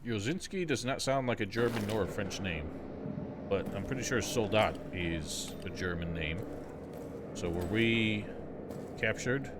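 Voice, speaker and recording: A man's voice: on the low side, noisy background. A man giving casual, wry and sceptical running commentary on a video game livestream.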